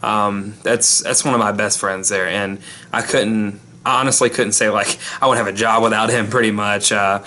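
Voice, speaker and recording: A man's voice: on the low side, fairly even intonation, normal speed, sharp tone. A young man hosting his channel, giving a rambling casual recap full of ums and restarts, his voice still a little worn from losing it. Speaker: YouTube channel host.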